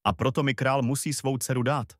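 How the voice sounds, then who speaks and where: A man's voice: mid-range voice, fairly even intonation, dry recording, studio quality, close to an everyday voice. A man voicing a clever, self-assured young journeyman in a storybook fairy tale. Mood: assertive. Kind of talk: storybook voice acting.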